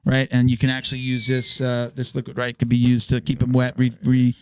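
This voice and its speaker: A man hosting a podcast in a casual, halting way, reading sponsor spots with a voice he is losing. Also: medium pitch, completely flat delivery, a little mellow, smooth, no echo, clean studio sound. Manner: halting, restarts his read. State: hoarse, losing his voice. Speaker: podcast host. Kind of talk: casual sponsor read and banter.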